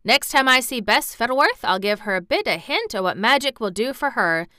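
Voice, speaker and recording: A woman's voice: on the high side, lively intonation, fairly quick, sharp tone, smooth, dry recording, clean studio sound, voice pushed up. Woman doing a testy old gardener. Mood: admiring. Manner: broad rustic speech, grumbling. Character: gruff old male gardener, dialect, testy.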